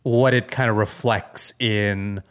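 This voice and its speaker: A man's voice: lowish voice, steady tone, takes it slowly, fairly bright tone, smooth. A man co-hosting a podcast, giving casual commentary in a tentative way and often checking in with "right?". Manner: tentative, thinking aloud, often ends with "right?". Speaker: podcast co-host.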